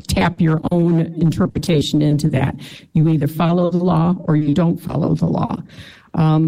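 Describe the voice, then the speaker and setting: A woman's voice: low-pitched, gravelly, mellow, low-quality recording. A woman answering questions as a candidate for county clerk, self-assured and full of ums and uhs.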